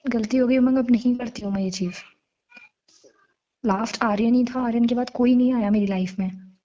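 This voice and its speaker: A woman acts out a young girlfriend full of remorse in a phone-call drama. Her voice is fairly high and very soft-edged and mellow. She pleads earnestly and intimately, over a muffled lo-fi call recording. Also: steady tone, moderate pace, natural voice. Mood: apologetic.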